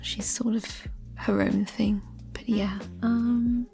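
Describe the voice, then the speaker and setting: A woman speaking in a soft, breathy, often near-whispered voice, chatting gently and ramblingly in an ASMR style. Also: on the high side, little pitch movement, slow. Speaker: ASMR creator answering listener questions. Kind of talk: soft-spoken ASMR chat. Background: music.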